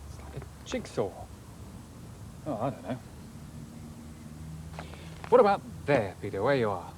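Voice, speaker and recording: A man's voice: mid-range voice, big pitch swings, measured pace, noisy background. A man playing an eager, cheerful amateur husband and customer in an instructional film. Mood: puzzled. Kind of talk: scripted instructional film acting.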